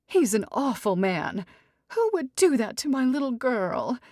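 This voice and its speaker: A woman's voice: on the high side, big pitch swings, a little slow, darkish tone, no room sound, clean studio sound, pitched above the performer's own voice. A woman voicing a fussy, indignant middle-aged mother of the bride in an audiobook, with a theatrical, doting and haughty manner. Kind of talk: audiobook character dialogue.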